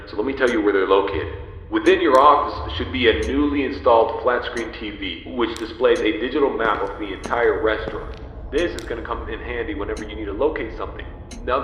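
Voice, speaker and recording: A man's voice: fairly low pitch, fairly bright tone, a little hiss or hum, lightly acted. Echoey actor as male manager giving instructions. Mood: instructive. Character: male pizzeria manager briefing new night guard by phone. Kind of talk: scripted instructional phone-call monologue. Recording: echoey with long reverb.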